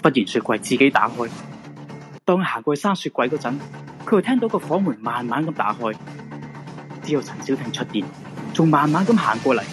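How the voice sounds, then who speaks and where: Guy with hong kong cantonese accent telling a radio ghost story, lively delivery, bit of music behind. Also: mid-range voice, brisk, long pauses. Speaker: narrator of a radio drama.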